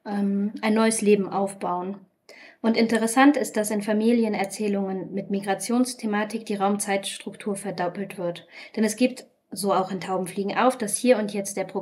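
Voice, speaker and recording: A woman presents a literature podcast from a script. Her pitch sits in a middle range, and her delivery is measured and steady. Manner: measured, reads quoted passages aloud.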